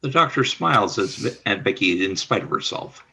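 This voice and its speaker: A man's voice: lowish voice, animated, moderate pace, gravelly, bright, forceful tone, husky. A man reading scripted scene narration for a radio play, describing the action in the present tense.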